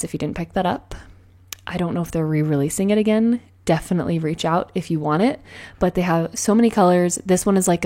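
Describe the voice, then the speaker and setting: Chatty lady, kinda raspy morning voice, lowish, rambly and giggly planner talk. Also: darkish tone. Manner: bubbly, rambling, frequent laughs and filler words. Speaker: planner vlogger. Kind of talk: casual chatty voice-over while decorating a planner. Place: at home with cats meowing.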